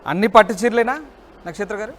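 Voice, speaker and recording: A man's voice: high voice, fast. A man anchoring a live news interview, pressing and rephrasing his questions insistently.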